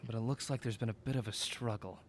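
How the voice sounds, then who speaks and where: A man's voice: medium pitch, fairly even intonation, quick pace, slight background noise, close to an everyday voice. A man playing a cautious, wry amateur sleuth in a mystery audio drama, questioning and dry.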